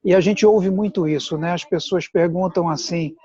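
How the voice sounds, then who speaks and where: High-voiced man explaining over a dull call. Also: warm tone, breathy edge. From Pernambuco, Brazil. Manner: measured, explanatory, deliberate. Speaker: guest, immigration adviser. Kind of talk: explanatory chat. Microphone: video call. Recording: dull lo-fi video call audio.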